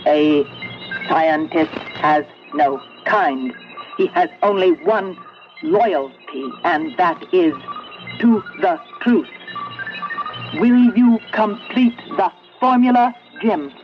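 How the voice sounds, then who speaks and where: An actress playing a sinister, coldly persuasive machine made of metal in a 1970s radio drama, speaking deliberately and commandingly. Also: female voice, on the low side, dull audio, music in the background. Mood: menacing persuasion. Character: sinister, coldly persuasive sentient metal computer demanding obedience.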